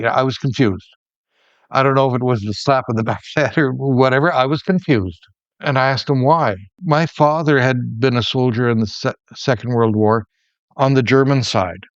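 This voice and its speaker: A middle-aged Canadian man, a former professor, telling personal stories in a relaxed conversation with many restarts. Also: mid-range voice, clean tone, no room sound, lo-fi sound. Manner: reflective storyteller, many restarts. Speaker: podcast co-host, former professor. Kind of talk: casual conversation, storytelling.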